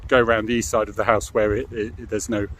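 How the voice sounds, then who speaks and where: A man's voice: lowish voice, level tone, fast, a bit bright, slight background noise. A middle-aged British man giving an informal, explanatory monologue as a former police officer, frank and matter-of-fact, often adding tag questions.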